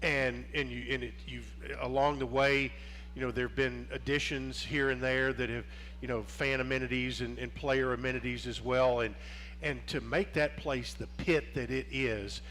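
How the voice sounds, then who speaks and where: A man hosts a relaxed sports interview show. He rambles and restarts his sentences, padding them with fillers. His voice sits at an ordinary pitch, with a faint hum behind it. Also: normal speed.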